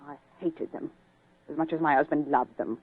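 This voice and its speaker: A woman's voice: deep voice, steady tone, normal speed, breathy edge, dry recording. Muffled 1940s radio melodrama, murderous widow, female, bitter, scornful, defiant, dry close sound. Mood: bitter, defensive. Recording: muffled, narrow-band 1940s radio recording.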